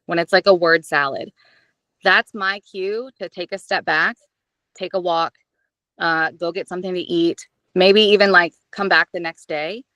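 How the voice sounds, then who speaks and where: A woman audiobook narrator chatting as an interview guest, expressive and self-deprecating, with a casual, animated way of talking. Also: lowish voice, no echo.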